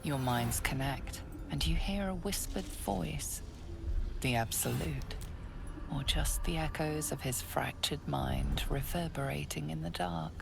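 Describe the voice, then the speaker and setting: Ominous fantasy game narrator, low-pitched, heavy vocal fry, measured, hushed, music behind. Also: female voice, full character voice. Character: ominous omniscient female narrator of a dark fantasy.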